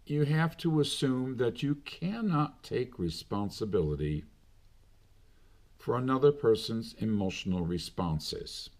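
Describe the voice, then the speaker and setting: A middle-aged man delivering a slow, deliberate monologue with long pauses between phrases. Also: fairly low pitch, darkish tone. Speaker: commentator. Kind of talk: unscripted monologue.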